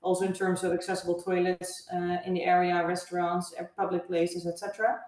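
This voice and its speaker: A woman's voice: fairly low pitch, monotone, darkish tone. Dutch-accented woman in an echoey room. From Netherlands. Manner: measured, thoughtful, with frequent uh fillers. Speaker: guest, travel agency owner. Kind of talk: interview answers. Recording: echoey room, far from mic.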